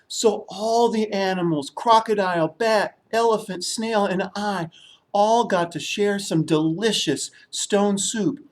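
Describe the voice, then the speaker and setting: A man's voice: sits high, slow. A man from the United States telling a story to young children as a puppet show host.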